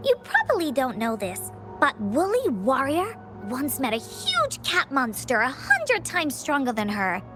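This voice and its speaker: High, bouncy little girl adventurer. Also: heavily acted. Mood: reassuring, brave. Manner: speaks of self in third person, childlike pep. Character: quirky bubbly little girl adventurer, confident and playful. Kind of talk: video game character acting.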